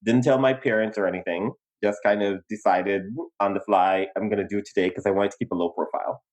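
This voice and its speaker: Guyanese man telling his story, low voice. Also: quick pace, poor audio. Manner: animated, laughs easily, emphatic pitch jumps. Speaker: podcast co-host. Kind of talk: casual conversational storytelling.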